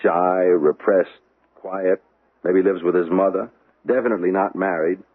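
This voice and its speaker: Low, rough-edged American police lieutenant. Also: male voice, fairly even intonation, moderate pace, narrow, tinny audio. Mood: earnest, weary. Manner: blunt, plain-spoken. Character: earnest, impatient police lieutenant, plain-spoken brother. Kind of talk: radio drama acting. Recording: thin band-limited old radio recording.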